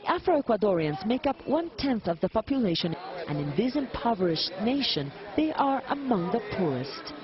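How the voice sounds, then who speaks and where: A woman narrating a scripted news report in a steady, formal way. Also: a little high, moderate pace, lo-fi sound, a crowd in the background.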